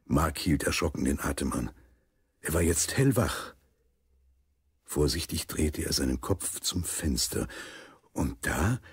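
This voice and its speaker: The storyteller of a children's radio adventure. His voice is extremely deep and rough-husky, recorded dry and close, and he tells the tale gravely without hurry. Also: dry recording, full character voice. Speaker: narrator. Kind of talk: scripted radio play narration for children.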